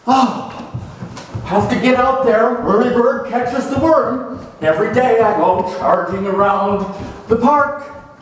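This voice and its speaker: Man playing a brash, high-pitched car-dealer boss, echoey. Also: a little hiss or hum. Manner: boastful, hard-selling, commanding. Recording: echoey room, distant microphone.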